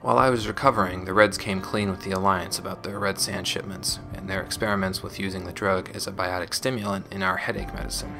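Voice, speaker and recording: A low male voice acted by a man plays a young ex-gang operative recording a personal log. The delivery is measured and reflective, like a game audio diary. Music plays clearly underneath. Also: level tone. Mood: regretful, reflective. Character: young former gang operative recounting painful memories. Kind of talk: scripted audio log narration.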